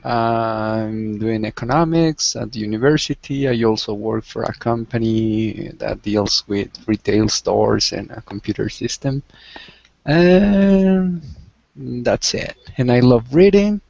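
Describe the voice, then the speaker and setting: A man, a university student talking in a relaxed, tired way late at night. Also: medium pitch, slow, creaky, no echo. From Mexico. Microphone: video call. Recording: dry, muffled video call audio.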